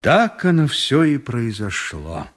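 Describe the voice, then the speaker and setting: An actor playing a somber survivor mourning among ruins, narrating gravely in a measured radio drama style. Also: male voice, mid-range voice, very animated, full character voice. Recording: music behind the voice.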